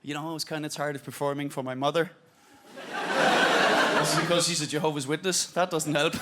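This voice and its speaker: High-voiced Danish man with an Irish lilt. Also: a little fast, heavy background noise. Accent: Danish speaker with an Irish-sounding English accent. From Denmark. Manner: deadpan, self-mocking storytelling with acted-out voices. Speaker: stand-up comedian. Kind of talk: stand-up comedy set. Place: theatre stage with live audience.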